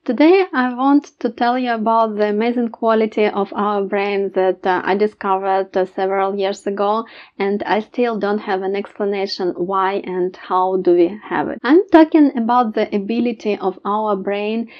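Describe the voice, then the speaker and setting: A woman language tutor speaks to camera at a middling pitch, hesitant and pausing often with filler sounds between phrases. The recording is dull and slightly lo-fi. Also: steady tone. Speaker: language tutor and channel host. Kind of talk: casual to-camera tips video.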